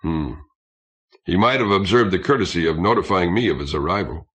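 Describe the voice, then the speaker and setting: A man's voice: low voice, expressive, fairly quick, fairly bright tone. A man voicing a reserved starship captain in his fifties for an audiobook, speaking formally and courteously. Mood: formal, mildly stern.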